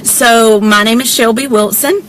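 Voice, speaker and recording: A woman's voice: fairly high pitch, steady tone, measured pace, a little hiss or hum, low-quality recording. A woman from South Georgia with a Southern accent, chatting on a live stream from her parked car, greeting viewers by name and saying y'all a lot. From South Georgia, USA. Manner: chatty, warm greetings, frequent y'all, laughs often. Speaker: direct-sales presenter hosting a live stream. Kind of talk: casual livestream chat greeting viewers. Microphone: phone held or mounted in car.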